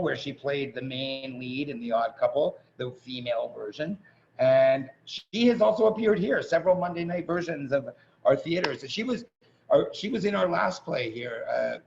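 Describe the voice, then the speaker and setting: A man's voice: medium pitch, vocal fry. A man hosting with casual, gushing, informal introductions over a video call. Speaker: host, acting teacher. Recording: muffled, noisy video-call audio.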